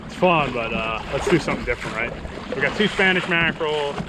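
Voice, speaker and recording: A man's voice: mid-range voice, expressive, a little fast. A man in his thirties giving laid-back, colloquial fishing vlog commentary, often as if talking to himself, outdoors on a kayak. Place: outdoors in a kayak on open sea. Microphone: action camera mounted on kayak or body. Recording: loud wind and water noise outdoors.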